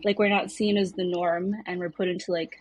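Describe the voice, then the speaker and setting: A woman's voice: on the low side, level tone, a little fast. A woman in a casual podcast discussion, speaking in an earnest, conversational way. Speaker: podcast guest.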